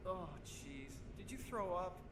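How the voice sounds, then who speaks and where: A man's voice: high voice, expressive, takes it slowly. An actor playing a male character in a military spy video game, with dramatic delivery. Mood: concerned. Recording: loud music and rain behind.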